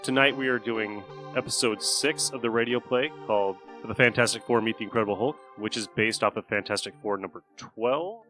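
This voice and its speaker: A man's voice: fairly low pitch, brisk, sharp tone. A man casually introducing a show as podcast host. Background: music.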